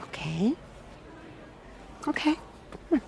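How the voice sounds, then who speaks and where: A woman playing a caring, gently prying older aunt in a video game, speaking with motherly concern. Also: fairly high pitch, big pitch swings, slow pace, gravelly, a faint crowd, full character voice. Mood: pleasantly surprised.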